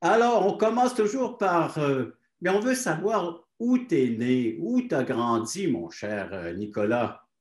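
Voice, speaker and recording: A man's voice: fairly high pitch, big pitch swings, slow, few pauses. Echoey male Quebec interview host. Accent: Quebec French. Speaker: host of a testimony show. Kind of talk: presenter introduction and interview. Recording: roomy echo.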